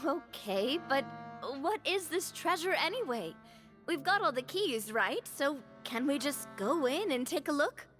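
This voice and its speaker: A woman's voice: sits high, sing-song, a little slow, fairly bright tone, soft background music, heavily acted. A woman voicing an eager, direct young adventurer in a video game.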